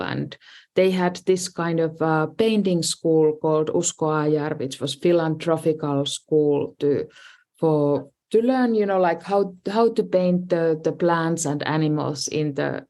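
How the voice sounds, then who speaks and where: A woman's voice: low voice, darkish tone. A middle-aged woman with a Finnish accent, talking through her life in a casual interview, hesitant, with many restarts and "you know, like" fillers. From Finland. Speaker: design professor and dean, guest.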